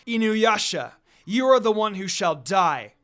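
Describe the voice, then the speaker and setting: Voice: mid-range voice, animated, unhurried, fairly bright tone, voice pushed up. A reader voicing a jealous, menacing undead priestess in an amateur story reading. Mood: vengeful.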